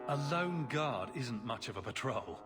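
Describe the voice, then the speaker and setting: A man's voice: medium pitch, expressive, measured pace, music in the background, natural voice. A man playing a sardonic young adventurer hero in a video game, dry-witted and wry.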